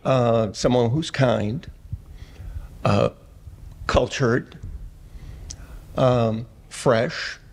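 A man's voice: mid-range voice, very slow, long pauses, darkish tone. A man in his sixties, a dating show contestant, hesitant with a dry joking manner, on a handheld mic. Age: 60s.

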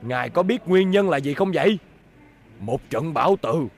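A man voicing a kindly, calm elderly scientist in a cartoon dub. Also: fairly high pitch, normal speed, a little hiss or hum. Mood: fatherly. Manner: calm, fatherly and explaining. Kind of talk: cartoon dubbing. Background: music score.

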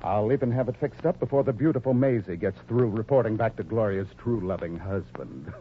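A man's voice: lowish voice, expressive, fairly quick, lightly acted. A man playing a smug, oily crooked doctor, sly and sardonic, in a 1940s radio comedy. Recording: muffled, hissy 1940s radio recording.

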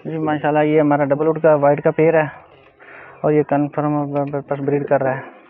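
A man's voice: on the high side, completely flat delivery, fairly quick, a little hiss or hum. A middle-aged man with a Pakistani accent, a farmer informally showing his stock with repeated stock phrases. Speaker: pigeon farmer. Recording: phone-like thin audio, faint music. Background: faint music, cooing pigeons.

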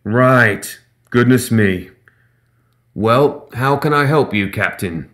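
A man's voice: mid-range voice, lively intonation, slow, fairly bright tone. A man voicing a nervous male airship engineer who answers meekly and hesitantly, eager to please.

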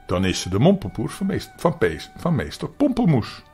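High-pitched giddy, silly dog. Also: male voice, wildly swooping pitch, normal speed, a little mellow, soft background music. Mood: helpless hilarity. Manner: giddy, laughs through his words. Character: silly male dog, giggling helplessly at wordplay.

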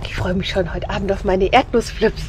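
A woman's voice: medium pitch, steady tone, a little fast. A woman chatting casually in a travel vlog, wry and teasing, talking in a camper van. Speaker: camping vlogger. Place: inside a camper van, in the car earlier. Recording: noisy car and camper sound.

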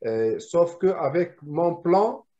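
A man whose first language is Arabic explains drafting on a video call, restarting and repeating words as he goes. His voice sits a little high. The call audio is muffled and lo-fi. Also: lively intonation, very slow, warm tone. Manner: explanatory, many repetitions and restarts. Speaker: industrial draftsman guest. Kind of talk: casual video call discussion.

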